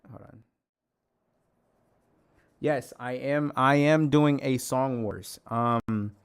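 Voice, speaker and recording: Rambling hoarse male streamer, gravelly. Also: mid-range voice. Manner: loud, rambling, profane, jokey. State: losing his voice. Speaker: livestreamer. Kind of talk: casual stream chat to viewers.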